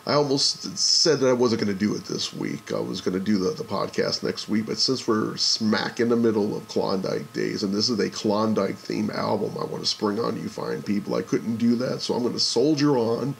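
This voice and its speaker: Hoarse male podcast host with a low, gravelly voice. Enthusiastic and a little rambling. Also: normal speed, few pauses, slight background noise. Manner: enthusiastic collector, rambling with restarts. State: hoarse from a cold. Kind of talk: casual solo podcast talk.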